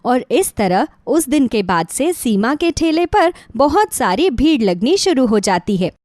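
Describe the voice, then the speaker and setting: A woman's voice: high-pitched, sing-song, measured pace, a little mellow, no room sound, studio quality. A woman reading scripted narration for a children's moral story.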